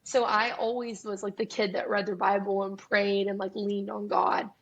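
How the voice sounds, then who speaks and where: A young woman in her twenties with a raspy voice, chatting candidly and openly as a guest musician in an interview, often breaking into laughter. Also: mid-range voice, little pitch movement, normal speed, rough recording. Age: 20s. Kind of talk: casual interview chat. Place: Nashville.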